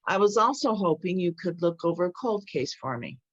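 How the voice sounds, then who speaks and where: A woman playing a courteous, humble police chief with measured authority in a screenplay table read. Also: fairly low pitch, lively intonation, a bit bright, breathy edge. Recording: dull, lo-fi audio.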